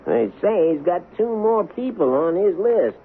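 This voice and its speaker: A high, lively voice chatters out of a narrow old radio recording. It is a folksy saloon card player, gossipy and inquisitive. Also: male voice, a little slow, husky, exaggerated voice. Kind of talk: 1970s radio drama acting. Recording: narrow-band vintage radio recording with faint hiss.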